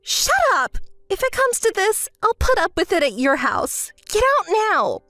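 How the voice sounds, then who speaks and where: Woman playing a spoiled young schemer, very high voice, swooping intonation, whiny, hysterical, melodramatic story dub. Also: sing-song, measured pace, dry recording, clean studio sound, faint music behind, heavily acted. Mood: furious. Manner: whiny, demanding, shrill outbursts.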